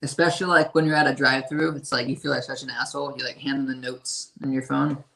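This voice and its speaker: A man with a raspy, hoarse voice, talking about his music in a casual interview and rambling with lots of fillers and swearing. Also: medium pitch, flat delivery, fast talker, a bit bright, poor audio. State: hoarse from a strained voice. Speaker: independent musician.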